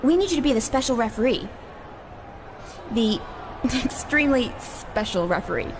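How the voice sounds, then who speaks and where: A woman as a high-voiced, scheming seductress. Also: lively intonation, normal speed, natural voice. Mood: seductive coaxing. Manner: coaxing, sugary, impatient when crossed. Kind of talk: scripted video game cutscene acting. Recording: clear ambient noise behind voice.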